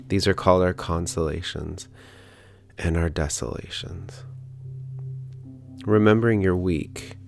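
A man leading a guided prayer meditation in a calm, gentle, unhurried manner with pauses. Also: low voice, creaky. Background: background music.

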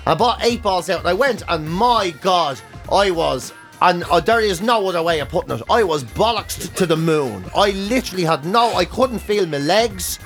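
A man's voice: high voice, expressive, normal speed, hardly any pauses, fairly bright tone, faint music behind. An Irish man co-hosting a casual podcast, swearing freely as he rants with comic exaggeration and acts out bits of dialogue. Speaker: podcast co-host and radio presenter.

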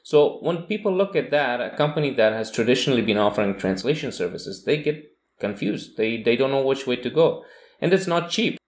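A man's voice: medium pitch, animated, fairly quick. A man interviewing a guest, explaining points at length after hesitant starts. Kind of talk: interview questions. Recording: echoey room.

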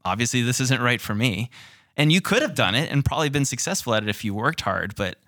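A man's voice: medium pitch, very animated, fast talker, fairly bright tone, dry recording, studio quality. A man co-hosting a casual podcast, affirming the others and reflecting back what they said. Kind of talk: casual chat.

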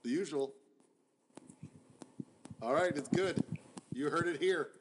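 A man co-hosting a casual sports podcast, tossing out teasing jokes in quick banter. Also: on the high side, background noise. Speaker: podcast co-host.

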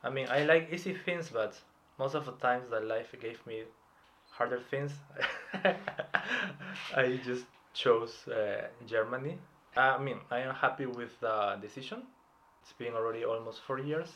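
A man in his thirties from Peru, talking in a relaxed, hesitant way as a podcast interview guest. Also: mid-range voice, measured pace, a little hiss or hum. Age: 30s. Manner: reflective, hesitant, with light laughs. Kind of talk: casual personal interview.

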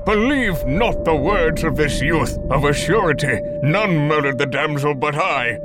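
A man's voice: on the high side, lively intonation, measured pace. A man playing a weary, grieving old man who declaims solemnly in an archaic audio drama style, with music underneath. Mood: urgent, solemn. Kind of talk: theatrical audio drama acting.

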